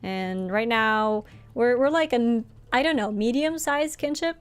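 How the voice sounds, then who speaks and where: A woman's voice: on the high side, measured pace, clear, resonant tone. A young woman whose first language is Norwegian, chatting casually with viewers while she streams a game. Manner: chatty and friendly, laughs often. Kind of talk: casual live-stream chat, reading chat aloud.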